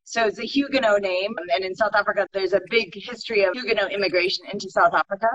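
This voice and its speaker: A woman's voice: medium pitch, fast talker. A woman from California with a Californian accent, a winemaker telling her story fluently in an interview. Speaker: guest winemaker. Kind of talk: interview answers. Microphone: video call. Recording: dull lo-fi video call audio.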